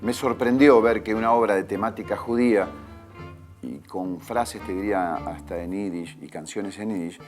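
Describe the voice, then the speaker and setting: Earnest lower-voiced male actor. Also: moderate pace, music in the background. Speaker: actor in the cast. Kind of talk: interview.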